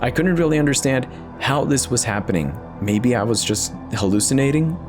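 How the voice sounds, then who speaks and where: A man giving a dramatic reading of a first-person horror story, somber and confessional, with dramatic pauses. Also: medium pitch, expressive, music in the background.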